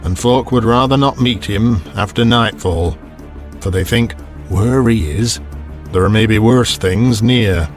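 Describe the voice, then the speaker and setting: A man narrating a Victorian ghost story as an audiobook in a measured, deliberate storytelling manner. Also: lowish voice, soft background music.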